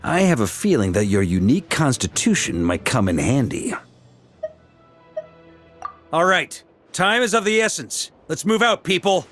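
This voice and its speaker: Casting: middle-aged knight leader in a game, confident and laid-back, animated delivery. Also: male voice, a little high, a little slow, pauses often, faint music behind. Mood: commanding. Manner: breezy, commanding. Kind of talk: video game voice acting.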